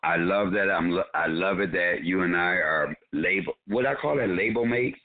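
Deep-voiced joking man on a phone line. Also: moderate pace. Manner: playful, teasing, laughs often. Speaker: comedian guest. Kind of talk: joking radio chat.

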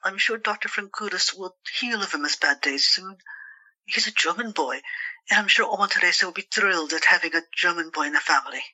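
A woman's voice: fairly low pitch, fairly quick, pushed and bright, no room sound, poor audio. A woman playing a protective, skeptical, worried sister in an audio drama, reasoning and pleading. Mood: playful.

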